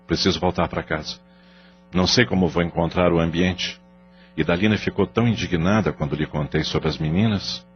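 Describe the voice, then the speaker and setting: A man's voice: deep voice, steady tone, a little fast, natural voice. A man playing a worried middle-aged father in an audio drama, speaking in a hesitant, sighing way. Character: anxious middle-aged father caught in a scandal. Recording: dull lo-fi audio with faint music.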